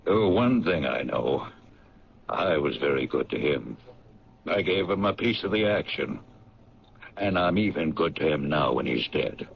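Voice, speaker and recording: A man's voice: extremely low pitch, animated, a little slow, gravelly, a little hiss or hum, full character voice. An actor playing a rambling male factory owner in a 1970s radio drama. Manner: rambling, chatty. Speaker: paint factory boss. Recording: dull lo-fi 1970s radio recording.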